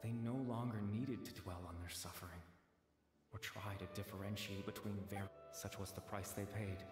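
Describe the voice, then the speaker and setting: An actor playing a mournful man who recites a farewell poem in a slow, hushed, tender manner. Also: fairly low pitch, steady tone, natural voice. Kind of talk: solemn poetic recitation. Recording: echoey hall with music.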